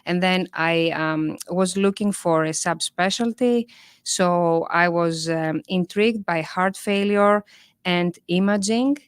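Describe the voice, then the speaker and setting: A woman with a Greek accent explains medical topics in a careful, measured way, pausing and restarting as she goes. Her voice sits a little low for a woman. The sound is dry and close. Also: clean tone, dry recording. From Greece. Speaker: cardiologist guest. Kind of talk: interview conversation.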